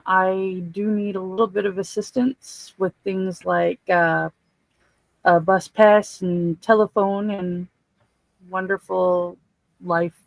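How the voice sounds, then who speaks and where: A woman's voice: medium pitch, creaky, no room sound, low-quality recording. A woman panelist on a livestream, speaking casually and directly to viewers. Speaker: stream panelist. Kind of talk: casual, unhurried appeal to viewers.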